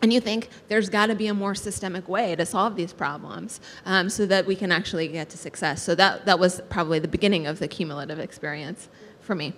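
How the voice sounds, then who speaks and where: A woman's voice: lowish voice, fairly quick. A woman speaking on a panel, telling personal stories in an earnest way, with many fillers and restarts. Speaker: panelist, state legislator. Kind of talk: panel discussion, personal storytelling. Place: large hall, panel on stage. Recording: reverberant hall, faint noise.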